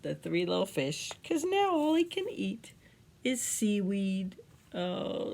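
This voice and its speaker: A woman leads a story time for young children. Her pitch moves up and down in an animated way, with a creaky edge at times. She keeps her words simple and often turns to the listeners with questions, over faint background noise. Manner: simple, expressive, addresses children with questions and tag questions.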